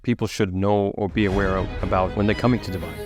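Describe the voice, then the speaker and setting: A man's voice: low voice, steady tone, fast talker, music in the background. A man hosting a podcast, asking his guest plain, direct questions. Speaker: podcast host.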